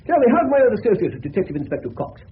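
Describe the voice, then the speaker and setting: An actor playing an eccentric, commanding senior police officer in a 1970s radio drama, with an upper-class English accent and a pompous, whimsical drawl. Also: male voice, high voice, huge pitch swings, rapid-fire. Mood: brisk, musing. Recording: muffled narrow-band old radio recording, hiss.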